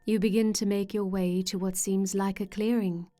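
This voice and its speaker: A woman leading a scripted guided meditation in a gentle, soothing way with measured pauses. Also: medium pitch, little pitch movement. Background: soft meditation music with singing-bowl tones.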